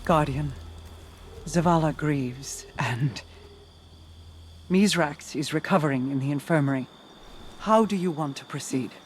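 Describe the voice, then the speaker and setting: An actor playing an ancient, wise, sorrowful immortal counselor in a video game cutscene. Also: male voice, fairly high pitch, a little slow, pauses often, a little hiss or hum, close to an everyday voice. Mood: solemn, concerned. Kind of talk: cinematic video game acting.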